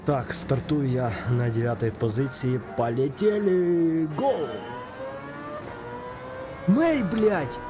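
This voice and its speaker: A man's voice: on the high side, slow pace, long pauses. Chatty streamer man over music. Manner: chatty, swears, talks to chat by name. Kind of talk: casual live-stream chat while racing. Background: game music and engine sounds.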